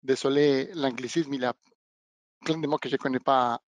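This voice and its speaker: A man who is not a native speaker of the language, giving a webinar demonstration of training equipment and pausing often to search for words. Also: mid-range voice, fairly even intonation, dry recording, highs cut off. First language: not French. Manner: halting, searching for words, non-native phrasing. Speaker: product trainer.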